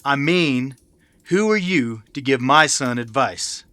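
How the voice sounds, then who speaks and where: A man playing a proud, prickly cowboy father in a western radio drama, talking in a terse, gruff manner. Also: medium pitch, animated, slow, sharp tone, dry recording, pitched above the performer's own voice. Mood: indignant. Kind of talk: old-time western radio drama acting.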